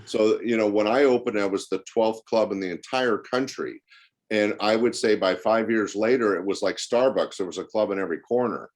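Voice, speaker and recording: Low-voiced older man telling stories. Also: moderate pace. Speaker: podcast guest, former comedy club owner. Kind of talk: conversational interview storytelling.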